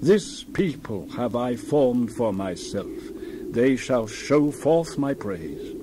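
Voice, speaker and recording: A man with a mid-pitched voice performs a dramatized scripture reading. His delivery is solemn and declamatory, measured, with emphatic swells. Clear background ambience with birdsong sits behind him. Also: expressive, noisy background. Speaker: Bible reader.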